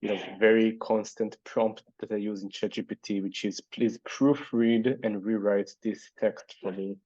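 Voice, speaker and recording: A man with a Hebrew accent and a fairly low, mellow voice hosts a casual online panel. He thinks aloud and hesitates, restarting his sentences. The sound is dry and a bit dull, like a lo-fi video call. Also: fairly even intonation, moderate pace, husky, dry recording. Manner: thoughtful, hesitant, many fillers and restarts. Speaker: event host reading audience questions.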